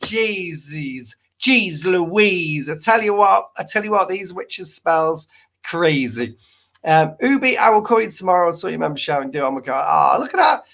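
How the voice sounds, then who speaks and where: A man hosts a casual live stream, joking and exclaiming as he reads viewer comments. His voice is gravelly and fading as he loses it, and he sits a little high with a lively, swooping pitch. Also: normal speed. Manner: playful and jokey, repeating exclamations. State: losing his voice.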